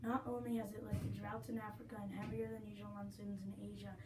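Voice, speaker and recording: A woman's voice: medium pitch, flat delivery, fast. A young woman reading a scripted mock news report as a field reporter, with small slips and restarts. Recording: echoey room, mic far off, noisy.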